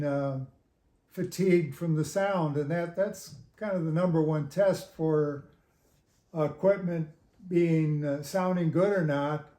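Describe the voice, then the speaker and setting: Slow, halting older man in an echoey room. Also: fairly high pitch, warm tone. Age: 70s. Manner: halting, measured, pauses often. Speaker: guest reviewer of audio gear. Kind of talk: casual interview answers. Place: home living room with hi-fi gear.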